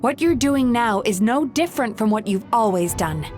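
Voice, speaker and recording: Woman as a high-voiced, earnest young game heroine. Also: animated, moderate pace, over music, lightly acted. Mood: firm, reproachful. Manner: earnest, composed, articulate. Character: gentle but resolute young heroine, dream healer. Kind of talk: scripted video game voice acting.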